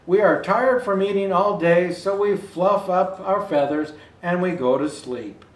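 A man's voice: high voice, measured pace. An older man in the role of a Santa storyteller, reading a children's picture book aloud expressively with asides to viewers. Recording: roomy indoor echo.

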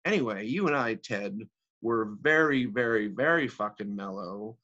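A man acting a worldly, wealthy divorcee who reminisces in a sardonic, profane stage monologue with drawn-out emphasis. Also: lowish voice, expressive, pushed and bright, lo-fi sound, heavily acted. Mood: wry, reminiscing. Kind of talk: theatrical dramatic monologue reading.

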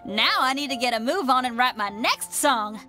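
A woman's voice: high-pitched, normal speed. A brash, slangy young rocker girl. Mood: eager. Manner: casual and slangy, lively. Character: brash young female rock musician, upbeat. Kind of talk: game voice acting. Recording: game audio with music behind.

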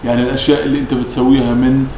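A man with a Levantine Arabic accent conducts a business interview at a quick clip. He is polite and full of approval. His voice sits at a middle pitch in a roomy, echoing space with clear noise behind it. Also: level tone, dark tone. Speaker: interviewer, show host.

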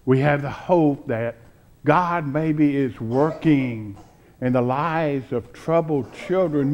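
Slow-paced older American preacher, a man. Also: medium pitch, dull audio. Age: 60s. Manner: deliberate, with short phrases and frequent pauses. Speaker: pastor. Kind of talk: sermon. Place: church sanctuary, at a pulpit before a choir.

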